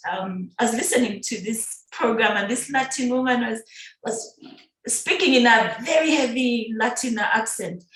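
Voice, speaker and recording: A middle-aged woman from Uganda, chatting as a podcast guest, animated and laughing often. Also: fairly high pitch. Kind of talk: casual conversational interview. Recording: echoey room.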